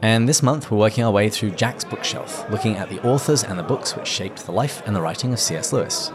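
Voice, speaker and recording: A man hosting a podcast, reading a measured introduction and then chatting politely with his guest. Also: on the low side, noisy background. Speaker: podcast host. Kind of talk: scripted intro, then conversation.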